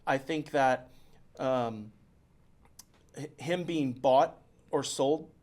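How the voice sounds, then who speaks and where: A man's voice: medium pitch, extremely slow, a little mellow. A man co-hosting a podcast, casually reading news notes aloud and stumbling over unfamiliar place names. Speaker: podcast co-host.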